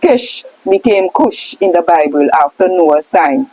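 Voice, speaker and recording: A woman reading a scripted question-and-answer lesson aloud, setting out names and lists deliberately. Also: fairly low pitch, animated, a little mellow, husky, no echo, rough recording. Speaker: reader of a scroll text.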